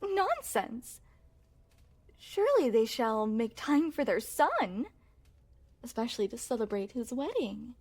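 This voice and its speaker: Woman acting a soft-toned, unhurried regal young princess. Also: fairly high pitch, sing-song, full character voice. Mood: insistent. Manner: formal, courtly, coy phrasing. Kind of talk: intimate ASMR roleplay acting.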